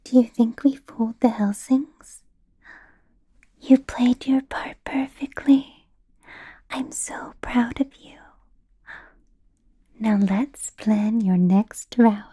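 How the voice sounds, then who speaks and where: Ancient vampire boss, female, acted by a woman: soft mellow whisper, unhurried and deliberate, falsely pleasant with menace underneath, intimate ASMR roleplay. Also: on the high side, fairly even intonation. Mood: pleased, conspiratorial. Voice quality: breathy whisper. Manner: slow, deliberate, teasing, with lingering pauses.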